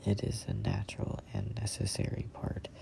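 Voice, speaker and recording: A person in their twenties reading a self-help book aloud in a breathy ASMR whisper, deliberately and with pauses between short phrases. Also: very deep voice, sing-song. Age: 20s. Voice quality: whispery, breathy, gravelly creak. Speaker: amateur book reader.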